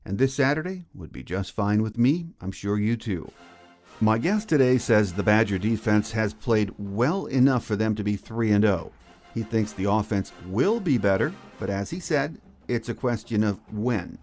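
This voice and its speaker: American male podcast host, lowish voice, scripted sports commentary with faint music behind. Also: lively intonation, normal speed, darkish tone.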